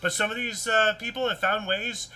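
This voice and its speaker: Bright, high-pitched man hosting offbeat news chat. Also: moderate pace. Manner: rambling, repetitive, animated. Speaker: host of a weird-news talk show. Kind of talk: casual news chat mixed with reading articles aloud.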